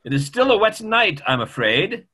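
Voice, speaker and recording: A middle-aged man plays a grave doctor in a stage play reading. The voice is husky and animated in pitch. He is formal, courteous and emphatic. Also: moderate pace, full character voice. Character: grave, courteous male doctor, firm in his opinions. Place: small room at home, video call.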